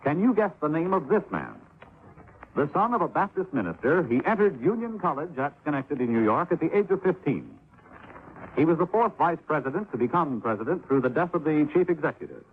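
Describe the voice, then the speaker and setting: Male announcer, animated quizzing read, thin tinny old radio. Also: a little high, a little fast.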